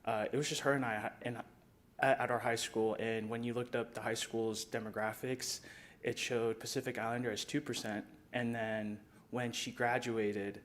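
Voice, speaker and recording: A man who grew up in Colorado Springs, a high school club sponsor, giving an earnest, conversational speech at a city council meeting. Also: little pitch movement, normal speed. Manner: earnest, conversational, some fillers.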